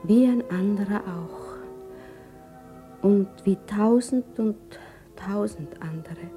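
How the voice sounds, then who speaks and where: Actress as a troubled, hushed grieving woman, mellow voice. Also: mid-range voice, takes it slowly, soft background music, natural voice. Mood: solemn, grieving. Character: troubled, anguished woman grieving a dead friend, brooding. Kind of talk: German radio play, intimate interior monologue.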